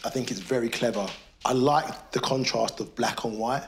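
A man's voice: medium pitch, steady tone, moderate pace, vocal fry, a little hiss or hum. A man co-presenting a renovation show, chatting on site in an encouraging, upbeat way. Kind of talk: casual on-site chat.

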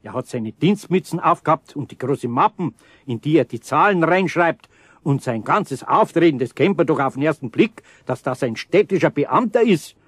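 An actor playing a kindly older carpenter with a Bavarian accent, grumbling good-naturedly. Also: male voice, fairly high pitch, expressive, normal speed, slightly rough, no echo. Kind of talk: 1970s radio play acting.

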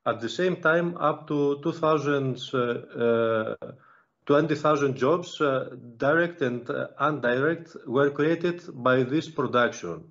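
A man's voice: medium pitch, takes it slowly, a bit bright. Greek-accented man, formal remarks. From Greece. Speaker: regional governor. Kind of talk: prepared remarks on a video call. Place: office with bookshelves. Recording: muffled video call audio.

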